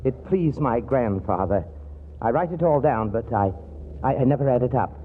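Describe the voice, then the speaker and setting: A man acting as a prim, stuffy young millionaire in a 1940s radio comedy, formal and earnest in his fussy phrasing. His mid-pitched voice comes through a thin old broadcast with light hiss. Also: lively intonation, moderate pace, close to an everyday voice. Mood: prim, bashful. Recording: hissy, narrow-band old radio broadcast.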